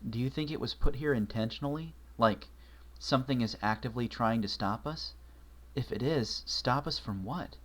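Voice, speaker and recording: A man reading a story aloud, voicing an anxious, bookish young pony mare who talks earnestly and often in a hushed voice. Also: mid-range voice, moderate pace, lightly acted.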